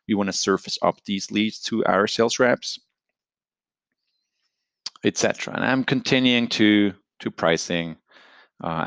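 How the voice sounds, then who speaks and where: A man giving an explanatory software tutorial, talking through it step by step in a conversational, unscripted way. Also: fairly low pitch, creaky, clear, resonant tone, no echo. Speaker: software product presenter. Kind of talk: screen-share product tutorial, explanatory.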